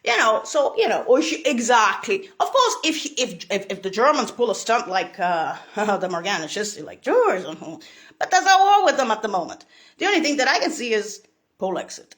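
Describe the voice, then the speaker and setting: A woman from Italy, rambling in a profane monologue, laughing and bursting into shouts. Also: fairly high pitch, big pitch swings, moderate pace.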